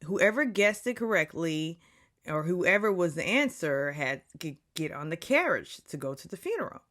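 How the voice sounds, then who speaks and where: Animated gossipy woman in her forties. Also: fairly low pitch, moderate pace. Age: 40s. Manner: animated, catty, drops into whispers for asides. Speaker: reality TV recap vlogger. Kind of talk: gossipy episode recap.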